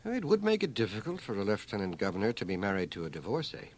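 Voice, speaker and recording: Low, animated, dryly precise gentleman. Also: a little hiss or hum. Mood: dry, probing. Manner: measured, precise, dry. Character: reserved, dryly observant older gentleman, narrator and confidant. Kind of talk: radio drama acting and narration. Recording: 1980 radio drama on cassette.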